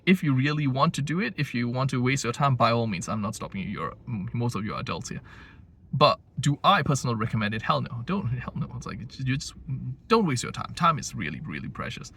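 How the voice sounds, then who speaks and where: A man's voice: mid-range voice, animated, fast talker, fairly bright tone. A man giving a blunt, rambling opinion monologue as a language-learning vlogger, full of fillers and restarts. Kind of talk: casual opinion monologue to camera. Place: home in Japan.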